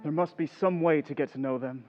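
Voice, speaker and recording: A man playing a restless, excitable teenage boy in an old-time radio play, boyish and full of exclamation. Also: fairly high pitch, a little fast, music in the background, lightly acted. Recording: echoey hall, dull sound.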